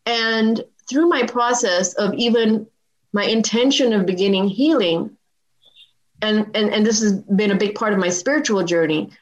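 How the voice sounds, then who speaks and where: A woman's voice: medium pitch, normal speed, highs cut off. A woman in her forties, a podcast guest telling a personal story in conversation and acting out the dialogue with animation. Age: 40s.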